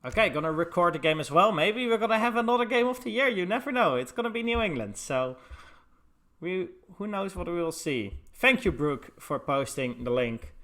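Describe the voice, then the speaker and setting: A man's voice: high-pitched, lively intonation, moderate pace, fairly bright tone. A man with a Dutch accent, from the Netherlands, casting a live esports match in a loose, chatty way with many filler words. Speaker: esports caster. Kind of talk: casual live stream commentary.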